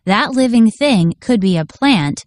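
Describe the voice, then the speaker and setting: A woman's voice: fairly high pitch, expressive, a little slow, darkish tone, no room sound. Woman reading aloud, dry close sound. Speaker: audiobook narrator. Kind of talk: scripted educational read-aloud.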